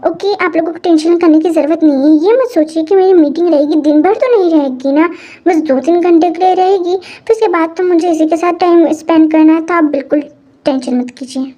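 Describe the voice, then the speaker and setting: A woman voicing a protective young uncle who calmly reassures his family. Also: extremely high pitch, brisk. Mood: reassuring. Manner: reassuring, soothing.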